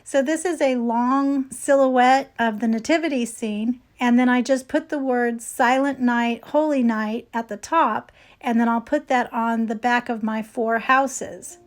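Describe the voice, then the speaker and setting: A woman hosting a craft tutorial, explaining each step in a chatty voice-over, her voice slightly hoarse. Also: fairly high pitch, steady tone, a little slow, hardly any pauses. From California. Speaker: DIY craft channel host.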